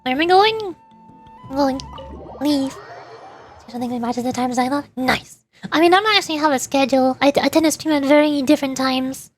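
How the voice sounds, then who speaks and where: A woman's voice: high-pitched, a little fast, soft background music. A woman livestreamer chatting casually with viewers while gaming, in a playful, rambling way. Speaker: livestreamer (VTuber) playing and voicing a game.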